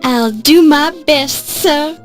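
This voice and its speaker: Earnest young novice reporter for adventure game: very high voice, unhurried, determined, music underneath. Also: female voice. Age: young adult. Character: eager, polite, plucky young woman, a novice reporter. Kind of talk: scripted adventure game acting.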